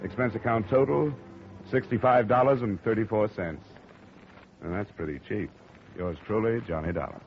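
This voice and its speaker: A man's voice: fairly low pitch, normal speed, lightly acted. A man playing a wisecracking, hard-boiled insurance investigator who narrates his case in a 1950s radio drama. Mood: wry. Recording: dull lo-fi 1950 radio transcription with music.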